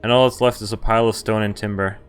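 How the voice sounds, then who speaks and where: Lowish guy streaming a visual novel, reads lines out then adds casual comments, bit of music behind. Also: fairly even intonation, fast talker, clear, resonant tone. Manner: reacts with asides between read lines. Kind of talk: reading game text aloud with casual reactions.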